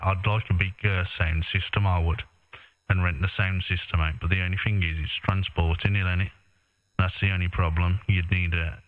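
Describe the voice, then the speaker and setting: A British man chatting casually in a matey, colloquial way as an amateur radio operator. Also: low voice. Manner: matey, colloquial, tag questions. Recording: echoey, narrow-band ham radio transmission.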